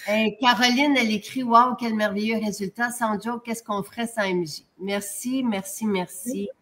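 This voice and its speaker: Higher-voiced Québécois woman host. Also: fairly even intonation, moderate pace, fairly bright tone. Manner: friendly prompting, reads viewer comments aloud. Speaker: host of a live product show. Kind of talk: casual live-stream chat.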